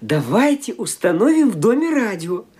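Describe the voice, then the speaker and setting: An actor playing an eager, ingratiating young nephew in a radio play, smooth-talking and coaxing. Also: male voice, high-pitched, big pitch swings, normal speed, a little mellow, full character voice. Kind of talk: Soviet-era radio play acting.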